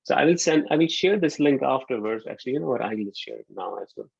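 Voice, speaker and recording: A man from Pakistan in his thirties giving a relaxed online university lecture, often pausing with ums. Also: mid-range voice, creaky, slightly rough, no echo. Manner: relaxed, hesitant with frequent ums. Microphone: computer mic on a video call. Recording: dull lo-fi video call audio.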